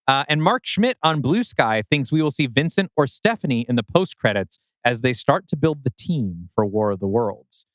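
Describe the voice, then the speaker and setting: A man hosts a podcast in a relaxed conversational style. His mid-pitched voice is recorded dry, with little room around it. He sounds playful and informal as he goes through listener messages. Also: dry recording. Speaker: podcast co-host. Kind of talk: casual chat, reading out listener predictions.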